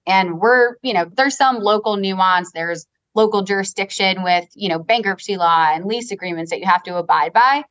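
A woman from Long Island with a Long Island accent, a company co-founder talking through a business interview in fluent, fast talk full of "like" and "you know". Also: mid-range voice, a bit bright. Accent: Long Island New York. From Long Island, New York. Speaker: guest, real estate advisory co-founder.

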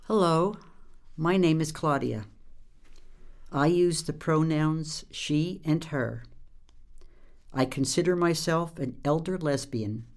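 A woman in her seventies telling her life story in a measured, reflective way. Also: low voice, darkish tone. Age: 70s. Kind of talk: personal storytelling.

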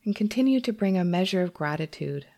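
Woman meditation teacher, kinda low voice, gentle, takes her time w long pauses between phrases. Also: animated. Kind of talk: guided meditation.

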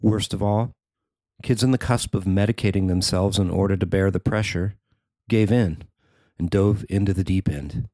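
A man's voice: low voice, fairly even intonation, moderate pace, dark tone, no echo. A middle-aged man reading a scripted personal feature as its narrator. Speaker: writer and narrator.

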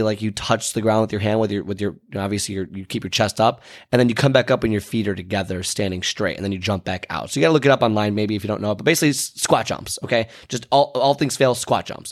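A man with a stuffy, congested voice hosts a fitness show. He rambles casually, stopping and restarting with plenty of fillers as he recalls details. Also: fairly low pitch. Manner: loose and chatty, with many fillers and restarts. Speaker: fitness podcast host.

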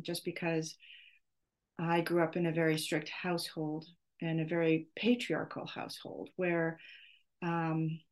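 A middle-aged woman hosting a podcast, talking off the cuff in a hesitant, halting way as she searches for her words. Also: lowish voice. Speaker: podcast host. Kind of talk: off-the-cuff solo podcast introduction.

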